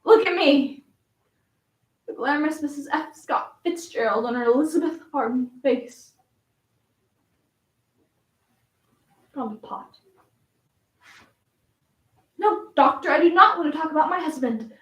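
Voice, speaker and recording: A woman's voice: sits high, normal speed, pauses often, fairly bright tone. A woman playing a flamboyant, wistful Southern belle flapper in a theatrical stage monologue. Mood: bitter nostalgia, then guarded, brittle. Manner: dramatic, swinging from coy reminiscence to defiant outbursts. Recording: roomy echo, distant microphone.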